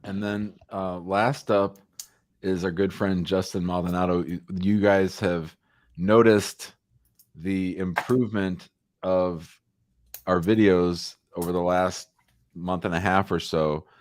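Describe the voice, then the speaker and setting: A man with a fairly low voice hosts a relaxed online show from a video call, joking easily as he hands the floor to his colleagues. His sound is dry and close, with no room around it. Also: dry recording. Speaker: immigration lawyer and show host. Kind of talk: casual livestream hosting.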